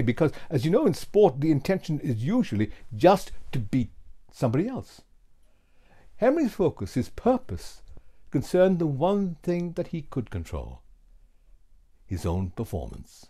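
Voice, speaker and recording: An older man in his sixties or beyond, giving a lesson as a public speaking coach, with emphatic, theatrical delivery and deliberate pauses. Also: a little high, mellow. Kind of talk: keynote lesson, rhetorical storytelling. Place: small home office with bookshelves.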